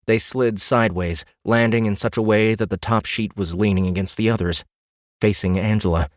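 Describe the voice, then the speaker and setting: A man's voice: fairly low pitch, fairly even intonation, moderate pace, no room sound, clean studio sound. A man narrating a fantasy novel as an audiobook, reading scripted story prose.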